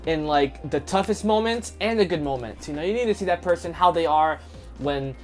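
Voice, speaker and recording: A man's voice: on the high side, expressive, fairly quick, soft background music. A young man chatting casually with his wife in a couple's question-and-answer video, easygoing and rambling with lots of filler words. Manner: easygoing, chatty, full of "like" and "you know". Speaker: co-host of a couple's channel. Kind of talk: casual Q&A chat.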